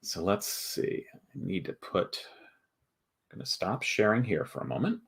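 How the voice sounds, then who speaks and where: Male livestream host, low voice, informal and explanatory, often halting as he thinks aloud. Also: huge pitch swings, creaky, a bit bright, breathy edge. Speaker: host, board game video maker. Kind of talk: casual livestream presentation.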